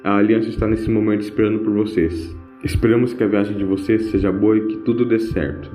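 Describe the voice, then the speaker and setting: A man's voice: lowish voice, little pitch movement, fast talker, warm tone, close to an everyday voice. A man playing a kindly old grandfather doctor with an old-fashioned, hemming manner in an audio drama. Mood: formal, reassuring. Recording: roomy, dull home recording with music.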